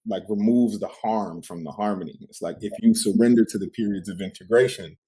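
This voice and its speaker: A man's voice: lowish voice, a little fast, warm tone, husky, poor audio. A man hosting a podcast, talking in a reflective, casual way and often checking in with "right?". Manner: reflective, peppers talk with "like" and "right?". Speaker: podcast host. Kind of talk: casual conversational interview.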